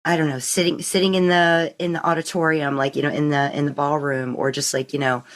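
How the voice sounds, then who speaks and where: Woman, low pitch, podcast host, casual interview chat, rambling, relatable. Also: level tone, a little fast.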